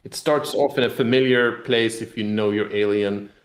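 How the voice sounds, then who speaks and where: Male game publisher guest, low voice, rambling explanatory chat, roomy echoing sound. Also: steady tone, normal speed. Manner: rambling, explanatory, hedging with "kind of". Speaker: game publisher representative being interviewed. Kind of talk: casual interview chat about a tabletop game.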